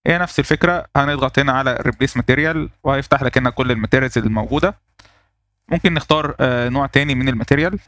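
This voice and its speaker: A man with an Egyptian Arabic accent walking through a software tutorial step by step, pausing on fillers as he works. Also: mid-range voice, dry recording. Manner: explains step by step, thinking aloud with fillers. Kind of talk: screen-recorded software tutorial.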